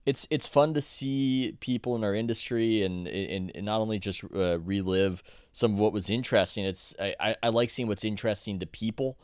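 A man's voice: lowish voice, moderate pace, darkish tone, smooth, no echo, studio quality. A man, an author guest on a podcast, talking casually in a rambling way with many restarts and self-corrections.

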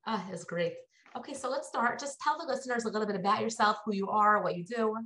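Fast-talking New woman host. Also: medium pitch, slight background noise. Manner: excitable and chatty. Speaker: podcast host. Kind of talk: casual interview chat.

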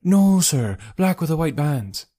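A man's voice: on the high side, sing-song, unhurried, warm tone, voice pushed up. A man voicing an anxious, talkative working-class English wife in an audiobook, folksy and deferential. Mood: chatty, worried. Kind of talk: audiobook character voice.

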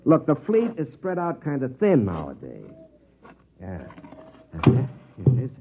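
An actor playing a cynical, hard-drinking middle-aged army captain with an American accent, wry and laconic, in old-time radio drama style. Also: male voice, medium pitch, huge pitch swings, unhurried, background noise. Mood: tense, sardonic. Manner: wry, laconic, self-deprecating. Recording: muffled vintage radio broadcast, music behind.

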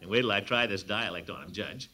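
An actor playing a pompous, blustering middle-aged water commissioner in 1940s radio comedy, with a booming, chuckling laugh. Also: male voice, fast, sharp tone. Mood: scheming. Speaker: amateur detective.

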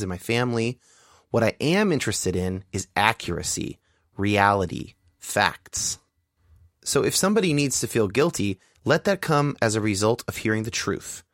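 A man's voice: lowish voice, a bit bright, dry recording, clean studio sound. A man hosting a podcast, talking earnestly and carefully as he introduces the episode and interviews a guest. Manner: earnest, measured, explanatory. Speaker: podcast host.

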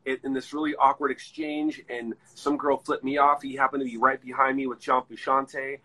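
Man, forties, podcast host, bright forceful voice, husky, rambling, swears a lot. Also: medium pitch, level tone, moderate pace, poor audio. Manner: rambling, profanity-laced storytelling. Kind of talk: casual chat.

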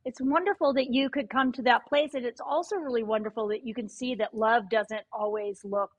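A woman co-hosting a podcast, chatting encouragingly and trailing off softly. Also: on the high side, steady tone, brisk, no echo. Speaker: podcast co-host. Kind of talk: casual chat.

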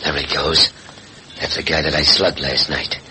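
A man's voice: very deep voice, level tone, normal speed, pushed and bright, exaggerated voice. An actor playing a cocky, suspicious hired gunman in a 1940s radio drama, with slangy tough-guy talk. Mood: menacing. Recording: noisy vintage radio recording.

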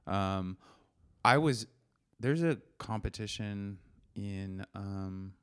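Low-voiced man in rambling casual chat. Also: drawn-out words, fairly bright tone, smooth. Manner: rambling, full of "like" and restarts.

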